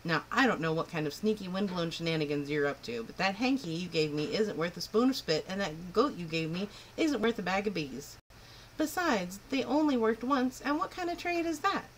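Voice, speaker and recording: A woman reading a storybook aloud, voicing a bold, sharp-tongued young country girl. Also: mid-range voice, lively intonation, normal speed, a little hiss or hum, close to an everyday voice. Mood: spirited.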